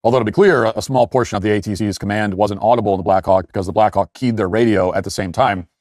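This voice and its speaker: A man hosting an opinion commentary show, speaking in an emphatic, critical way with deliberate phrasing and asides. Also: fairly low pitch, steady tone, a little mellow, no room sound, studio quality.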